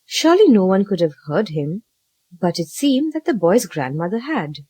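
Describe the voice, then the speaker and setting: A woman narrating a children's story from a script, with an expressive, dramatic read-aloud delivery. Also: medium pitch, moderate pace, husky, no echo.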